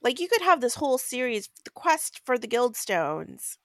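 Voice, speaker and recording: A woman's voice: a little high, very animated, normal speed, no echo. A woman co-hosting a podcast, giving a casual book recap in a blunt, exasperated way and swearing freely. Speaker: podcast co-host.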